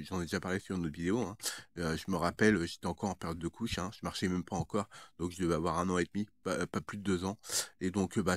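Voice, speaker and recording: Man, lowish pitch, dry close sound, casual vlog monologue, hesitant, halting, repeated words. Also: no room sound. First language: French. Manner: hesitant, halting, with frequent stammered word repetitions. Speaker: vlogger. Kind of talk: casual rambling monologue to viewers.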